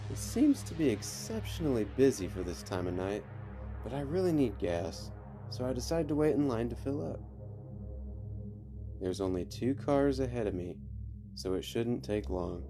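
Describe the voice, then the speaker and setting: A man reading creepy stories as a podcast host, in scripted narration with measured, deliberate phrasing and dramatic pauses. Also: mid-range voice, very animated. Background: faint music.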